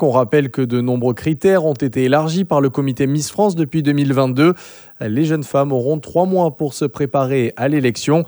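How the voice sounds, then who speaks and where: A man's voice: on the high side, warm tone, no echo, clean studio sound. A man presenting a radio news bulletin, reading steadily with the odd filler. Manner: measured, with occasional fillers.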